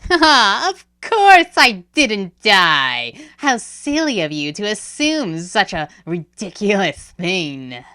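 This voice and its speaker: A woman's voice: mid-range voice, sing-song, very slow, pushed and bright, smooth, dry recording, clean studio sound, voice pushed up, exaggerated voice. A woman voicing Kaokat, a sly grinning cat spirit. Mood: teasing. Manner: teasing, chuckling.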